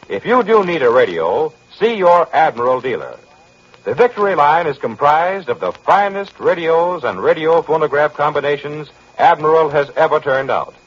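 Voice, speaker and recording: Man, vintage radio announcer, persuasive ad read, lively pitch, husky, dull old broadcast sound. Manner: earnest, persuasive. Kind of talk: scripted commercial announcement. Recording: muffled 1940s radio broadcast.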